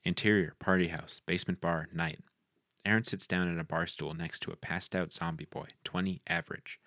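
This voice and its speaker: Casting: male screenplay narrator, very low and creaky, recorded dry. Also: fairly even intonation, brisk, sharp tone, no echo. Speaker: narrator reading stage directions. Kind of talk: scripted narration at a film table read.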